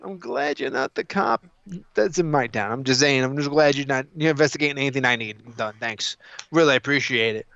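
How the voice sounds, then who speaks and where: A man speaks in a dry, lo-fi recording at a middling pitch. He co-hosts a casual panel chat, full of opinion. He retells things animatedly and often repeats his words. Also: fairly quick, no room sound. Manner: animated retelling, repeats himself, acts out quotes. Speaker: podcast co-host. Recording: dull lo-fi audio.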